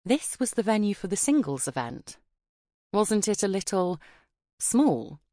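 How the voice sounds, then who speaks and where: A woman reading a first-person audiobook in a measured, expressive way on a close studio mic. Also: mid-range voice, no echo. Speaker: audiobook narrator. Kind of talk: scripted first-person narration.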